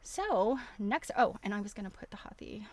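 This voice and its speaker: A woman's voice: medium pitch, sing-song, normal speed. A woman hosting a casual livestream, chatting enthusiastically and thinking aloud as she walks through websites. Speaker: host of a craft livestream.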